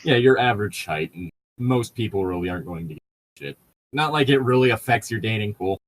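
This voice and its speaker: A man's voice: fairly low pitch, expressive, a little fast, slight background noise, rough recording. A young man in casual, joking, profane chat as a co-host reading a book aloud with commentary. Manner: profane, bantering.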